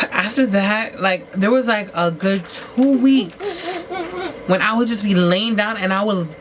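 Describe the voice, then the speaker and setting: A woman talking casually as she gets ready, rambling with lots of "like"s and restarts and jumping up in pitch for emphasis. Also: expressive, slow. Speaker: vlogger. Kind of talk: casual storytelling while getting ready. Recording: noisy home recording.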